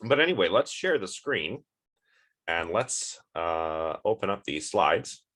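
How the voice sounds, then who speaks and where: A man's voice: sits low, sing-song, slow pace, fairly bright tone. A man with a sniffly, stuffed-up voice giving a casual online philosophy lecture, chatty and rambling with many fillers and restarts.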